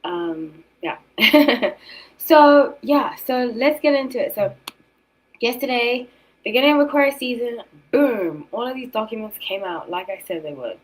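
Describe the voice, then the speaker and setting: A woman's voice: on the high side, animated, a little slow, poor audio. Rambling British woman chatting on a livestream. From South Africa and England. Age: 30s. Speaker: tarot reader and streamer host. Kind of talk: casual livestream chat with commentary.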